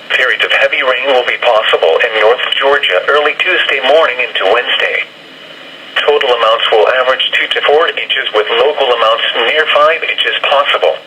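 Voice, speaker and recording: A man reading a scripted weather bulletin in a formal, measured way, heard through a radio speaker. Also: medium pitch, background noise.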